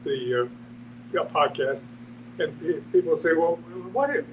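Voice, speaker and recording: A man in an informal group discussion, talking haltingly, often rephrasing himself and acting out questions. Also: fairly high pitch. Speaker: discussion participant. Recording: distant mic with noisy hum.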